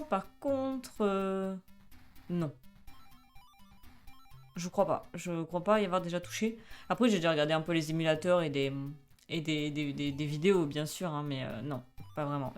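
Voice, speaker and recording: A woman in her twenties chatting casually on a live stream, with many fillers, reading chat aloud and laughing often. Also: low voice. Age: 20s.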